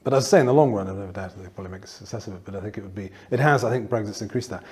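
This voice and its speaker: A man's voice: fairly low pitch, rapid-fire. A man from northeast England who interviews a guest on politics, rambling through restarts and fillers into long questions. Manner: rambling, many restarts and fillers, lively asides. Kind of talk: political discussion.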